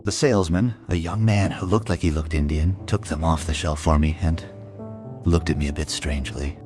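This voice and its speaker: World-weary investigator, crime radio drama, deep register, deliberate and reflective, faint music underneath. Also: male voice, steady tone, a little mellow. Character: world-weary male police investigator recounting a murder case. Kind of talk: German crime radio play acting, narrated monologue.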